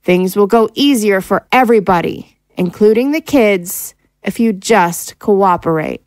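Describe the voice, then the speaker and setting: A woman playing a composed, firm wife and mother in an audio drama, speaking in a measured, reasoning way. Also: mid-range voice, darkish tone, no room sound, lightly acted. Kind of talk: screenplay-style audio drama acting.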